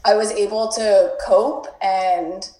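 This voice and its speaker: A woman's voice: medium pitch, fairly even intonation, slow, mellow. American girl mid twenties, swears a lot, says like constantly, kinda echoey room. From United States. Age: 20s. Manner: candid, swears casually, many "like" fillers. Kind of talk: casual chat.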